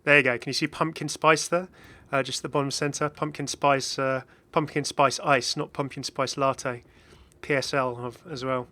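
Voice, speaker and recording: A man's voice: medium pitch, little pitch movement, normal speed, bright, forceful tone. A man hosting a gaming video with casual, chatty commentary that drifts into hesitant asides. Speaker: video host, game content creator.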